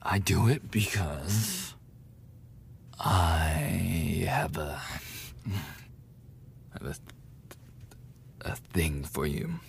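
A young man playing a lovesick, defensive school bully in a boyfriend ASMR roleplay, speaking haltingly in a husky, breathy voice. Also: low-pitched, sing-song, slight background noise, heavily acted. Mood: grudging, confessing. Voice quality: husky, breathy, often dropping to a whisper. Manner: halting, swearing, coughing between phrases.

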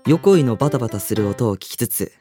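A reserved teenage boy played by a woman in a radio drama, very low in pitch and blunt in manner. His delivery moves expressively between curt replies and inward-looking narration. Music plays behind the voice. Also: brisk. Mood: wistful, introspective. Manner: flat, curt dialogue and introspective narration. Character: reserved, blunt teenage high school boy, former ballet dancer. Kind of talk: radio drama acting and first-person narration.

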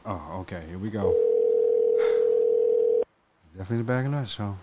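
A man's voice: fairly low pitch, moderate pace, heavy background noise. A man co-hosting a podcast, reacting with incredulous exclamations in loose, profane banter. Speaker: podcast co-host.

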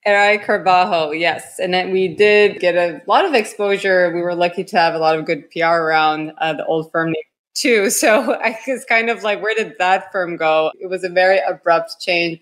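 A woman's voice: lowish voice, a bit bright. A woman who grew up in Turkey and the United States, speaking as an architect guest on a podcast in a casual way, often saying "like" and "you know". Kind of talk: podcast interview.

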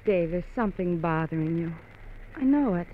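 An actress playing a worried wife in an old radio drama, anxiously and hesitantly probing for answers. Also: female voice, on the low side, lively intonation, moderate pace, a little hiss or hum, natural voice. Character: worried wife gently questioning her secretive husband. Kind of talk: 1940s-50s radio drama acting. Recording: thin phone-like old radio recording.

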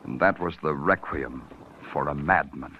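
An actor playing a world-weary, sardonic police detective in a vintage radio crime drama, terse and darkly poetic, on a muffled old recording. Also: male voice, rumbling low, a little slow, very noisy. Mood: somber, brooding. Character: hard-boiled, brooding, world-weary male police detective. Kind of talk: 1950s hard-boiled radio drama acting.

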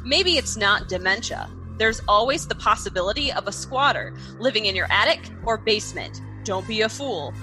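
Deadpan ad announcer played by a woman, music bed under. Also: medium pitch, moderate pace, few pauses, low-quality recording.

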